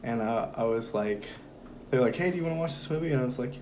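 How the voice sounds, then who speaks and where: A hoarse young man talking as a guest in a relaxed, rambling conversation with lots of fillers. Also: medium pitch, quick pace, a little hiss or hum. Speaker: podcast guest. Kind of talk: casual conversation.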